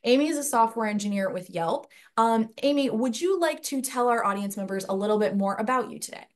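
A woman's voice: on the high side, expressive, a little fast. Chatty woman hosting a webinar panel. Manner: chatty, rambling storyteller. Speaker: host. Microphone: headset.

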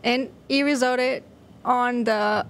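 A woman's voice: fairly high pitch, fairly even intonation, takes it slowly. A woman in her twenties answering casually as a guest in a podcast interview, speaking into a studio microphone. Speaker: podcast guest, surgical research fellow and mentor. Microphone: desk microphone on boom arm.

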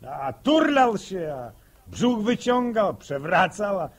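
Husky young farm lad. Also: male voice, high-pitched, big pitch swings, slow pace, exaggerated voice. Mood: chatty, amused. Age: young adult. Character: young farm lad, sociable, eager suitor. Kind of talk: 1960s radio drama.

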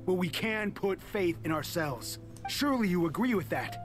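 A man's voice: sits high, lively intonation, normal speed. A man playing a bitter, defiant young resistance soldier in a video game, with an impassioned, declamatory delivery. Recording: game music underneath.

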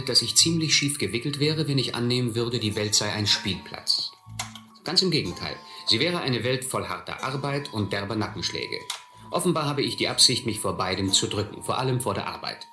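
A middle-aged actor playing a reflective man who recalls his boyhood, narrating a radio play in measured, literary style. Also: medium pitch, animated, bright, forceful tone, faint music behind. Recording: echoey old recording, faint noise.

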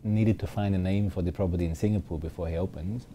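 Man from Austria, fifties, low dark voice, quick delivery, faint room hiss. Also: fairly even intonation. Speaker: hotel general manager. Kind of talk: interview answers. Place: hotel lounge, indoors.

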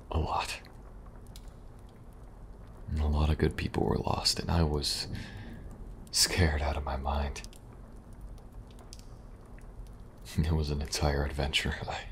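A man playing a weary, kind young government agent in a soft-spoken, halting ASMR roleplay. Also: very deep voice, a little hiss or hum. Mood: weary, reminiscing. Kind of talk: intimate ASMR audio roleplay.

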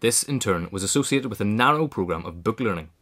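A man's voice: on the low side, expressive, quick pace, a bit bright. A man narrator reading a scripted biographical essay aloud. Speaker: narrator, reader.

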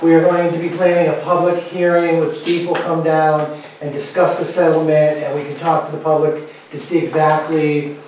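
A man's voice: fairly high pitch, flat delivery, moderate pace, darkish tone, very echoey, slight background noise. A man standing in a small meeting room, reading a report to a town board with fillers and restarts, recorded by a far camera. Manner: reads updates from paper, with fillers. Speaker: state representative briefing a town board.

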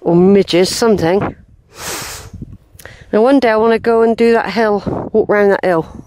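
A woman's voice: mid-range voice, a little slow, a little mellow, dry recording. Wheezy woman rambling on a walk. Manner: chatty, rambling, talks to herself. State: wheezy, short of breath. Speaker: walking vlogger. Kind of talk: casual walking commentary to camera. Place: outdoors on open grassy hillside. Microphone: handheld camera, unseen narrator.

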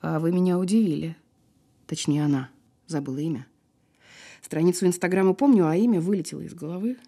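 A woman's voice: lowish voice, mellow, husky. An actress playing a wry, guarded woman in an audio drama, sparring with dry sarcasm. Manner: dry, sardonic, unhurried sparring. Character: wry, guarded woman who survived a fall. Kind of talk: naturalistic audio drama acting.

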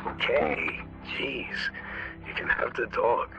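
A man's voice: mid-range voice, slow, highs cut off, loud music behind the voice. An actor playing a fatherly older survivor leader in a video game, blunt, teasing and caring. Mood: teasing, warm. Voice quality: gravelly. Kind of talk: video game cutscene acting.

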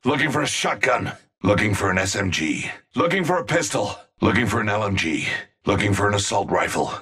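An actor voicing a rough male combatant in a shooter game, giving terse callouts. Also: lowish voice, very animated, brisk, a bit bright, heavily acted. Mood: businesslike.

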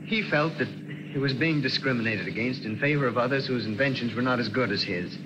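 A man playing a high-strung inventor and showman in 1940s radio drama style. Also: fairly quick, muffled recording, lightly acted. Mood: weary, troubled. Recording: noisy vintage radio recording.

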